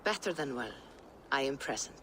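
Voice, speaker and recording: An actress playing a mystical seer who speaks softly and slowly. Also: female voice, rumbling low, big pitch swings, a little hiss or hum, heavily acted. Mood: serene. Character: female viking seer, serene and mystical. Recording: game cutscene over noticeable ambience.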